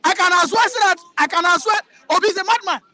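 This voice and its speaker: A man's voice: very high-pitched, fast talker, sharp tone. A man with a Nigerian accent, arguing politics in a heated, mocking way and repeating his points. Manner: combative, mocking, repeats himself.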